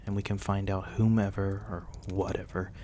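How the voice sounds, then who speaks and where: Casting: male actor as a gentle, courteous vampire host for ASMR roleplay, low and mellow, soft old-fashioned politeness. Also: level tone, normal speed, a little hiss or hum, natural voice. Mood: soothing. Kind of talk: intimate ASMR roleplay acting.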